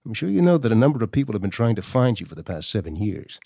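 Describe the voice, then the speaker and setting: An actor playing a stern, formal government doctor reading out his correspondence in a measured, condescending way for an audio drama. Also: on the low side, sing-song, vocal fry, dark tone, no echo, exaggerated voice. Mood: measured, warning.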